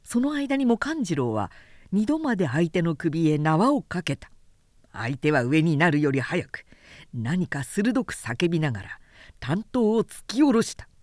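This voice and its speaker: A woman narrates literary fiction with dramatic, measured delivery. Her voice sits fairly low and moves through a lively range of intonation, in a dry, close recording. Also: dry recording. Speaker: narrator, announcer.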